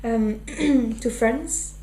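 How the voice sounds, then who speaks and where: A woman's voice: fairly high pitch, extremely slow, dark tone. A young woman from Flanders with a Flemish accent, talking thoughtfully in an interview and often restarting her sentences. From Flanders, Belgium. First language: Dutch. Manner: thoughtful, full of fillers and restarts. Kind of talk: casual interview. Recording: echoey room with faint hum.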